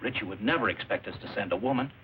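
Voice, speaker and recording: An actor playing a businesslike, agreeable police officer in a film noir crime drama. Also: male voice, fairly low pitch, racing, close to an everyday voice. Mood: matter-of-fact. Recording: tinny narrow-band old recording, faint noise.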